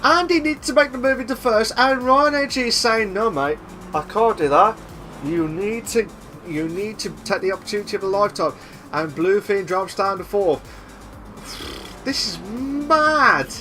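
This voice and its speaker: A young man doing live sim racing commentary, excitable and repetitive, with a voice he says he is losing. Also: sits high, moderate pace, faint music behind. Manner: excitable, repeats himself, shouts at big moves. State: losing his voice.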